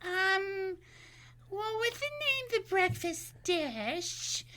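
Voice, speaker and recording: A woman giving careful answers in a measured, deliberate way. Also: very high voice, sing-song, bright, forceful tone. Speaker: guest introducer.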